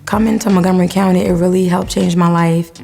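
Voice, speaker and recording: A woman's voice: on the low side, steady tone, fast, vocal fry, dark tone. A woman, a single parent and graduating student, speaking sincerely in a testimonial. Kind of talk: interview testimonial. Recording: music bed underneath.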